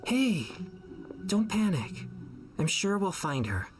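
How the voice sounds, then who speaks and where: A man's voice: high-pitched, very animated, slow, exaggerated voice. An actor voicing an earnest, kindly teenage boy exorcist in an anime video game. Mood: reassuring. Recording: game music behind the voice.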